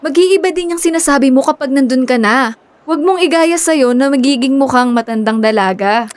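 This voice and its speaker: An actress plays a practical young woman, the teasing best friend in a radio drama. She talks casually in a high-pitched voice with a close, dry sound. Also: brisk, no echo, natural voice. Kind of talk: Filipino radio drama acting.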